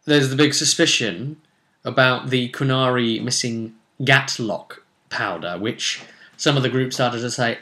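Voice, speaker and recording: A man's voice: mid-range voice, measured pace, bright, forceful tone. A man casually recapping a tabletop role-play session as game master, unscripted and conversational, wandering off into asides. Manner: rambling, conversational, with many asides.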